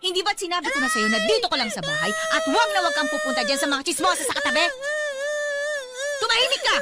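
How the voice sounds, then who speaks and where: A woman's voice: extremely high pitch, very animated, fast talker, over loud music. A woman playing a harsh, abusive middle-aged neighbor who scolds and insults in a radio drama. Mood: scolding. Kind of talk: Tagalog radio drama acting.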